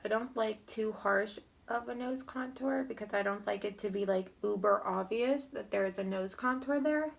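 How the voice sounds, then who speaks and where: A young woman talking through her makeup routine in a slow, rambling way. She is sniffly from a cold. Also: medium pitch, creaky. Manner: slow, rambling, filler-heavy commentary while applying makeup. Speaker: beauty vlogger. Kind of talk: makeup tutorial chat.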